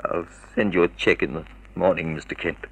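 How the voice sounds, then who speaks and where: An actor playing a proud, bitter wronged husband, formal and commanding, in vintage radio drama style. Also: male voice, sits low, fairly even intonation, a little fast, husky, rough recording. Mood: cold, bitter. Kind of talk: 1950s radio drama acting.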